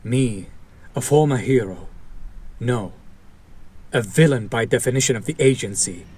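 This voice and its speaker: A man playing a fallen hero turned villain, an idealistic, bitter and protective former partner, speaking earnestly with dramatic pauses in an audio roleplay. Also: mid-range voice, darkish tone, husky. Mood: resolute, tender. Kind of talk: dramatic audio roleplay monologue addressed to listener.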